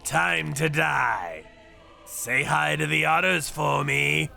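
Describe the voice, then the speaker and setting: A man's voice: a little high, slow, gravelly, bright, forceful tone, heavily acted. A man voicing a ruthless underworld avenger in a manga dub, menacing and theatrical as he narrates. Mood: cold menace. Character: ruthless male underworld avenger narrating his torture jobs. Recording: background music under voice.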